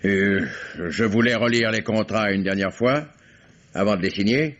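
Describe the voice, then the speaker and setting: An actor playing a stern, curt factory owner in an old French radio mystery, answering formally and drily. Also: male voice, on the low side, normal speed, a bit bright, slight background noise, close to an everyday voice. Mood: dry, formal. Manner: clipped, formal, dry. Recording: dull old radio drama recording.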